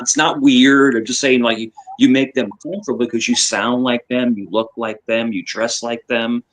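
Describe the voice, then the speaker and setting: Guest, male, Virginia-tinged voice, middle pitch, quick restarts, casual talk. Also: fairly bright tone. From New York. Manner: talks in quick bursts, restarts, shifts between two accents. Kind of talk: casual chat.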